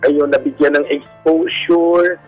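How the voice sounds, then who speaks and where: A husky middle-aged man with a Filipino accent shares his own story on a radio show. His voice sits a little high, and he speaks candidly in halting pieces. Music plays underneath. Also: steady tone, poor audio. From Philippines. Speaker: guest telling his life story, a stage performer. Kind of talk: personal storytelling in a radio interview. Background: background music.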